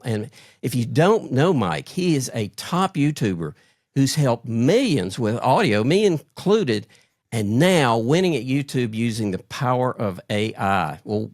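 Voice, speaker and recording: A man's voice: medium pitch, sing-song, measured pace, dry recording, clean studio sound. A middle-aged man hosting an interview show, speaking conversationally as he introduces and questions his guest. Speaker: podcast host.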